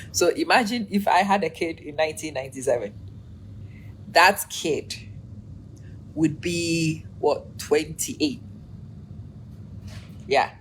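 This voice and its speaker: A woman in her forties hosting a casual live stream, chatting, laughing often and greeting viewers by name, with her energy running low. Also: slow pace, pauses often, slight background noise, rough recording.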